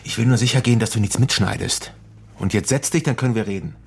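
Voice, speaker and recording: Condescending politician, clearly noisy background. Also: male voice, lowish voice. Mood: cold, commanding. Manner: sardonic, measured, patronising. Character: slick middle-aged male politician, condescending and controlled. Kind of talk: naturalistic radio crime drama acting.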